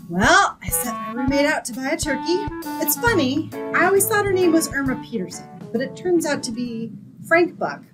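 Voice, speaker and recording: A woman's voice: high-pitched, very animated, normal speed, over loud music. A woman playing a sensible, long-suffering young roommate in an old-time radio comedy, with a dramatic, wry manner. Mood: wry narrating.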